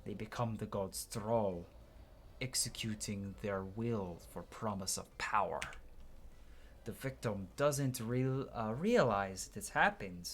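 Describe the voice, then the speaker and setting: A man's voice: on the low side, lively intonation, slow pace, a little hiss or hum. A man doing a playthrough, reading game dialogue aloud dramatically and stumbling now and then before restarting lines. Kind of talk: gameplay commentary with dramatic read-aloud dialogue.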